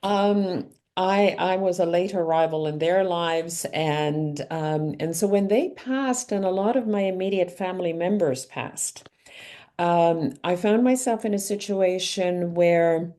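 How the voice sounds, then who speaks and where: A middle-aged woman being interviewed about her life, answering in a thoughtful, measured way with frequent ums and restarts. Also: on the low side, mellow. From Canada. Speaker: author and guest.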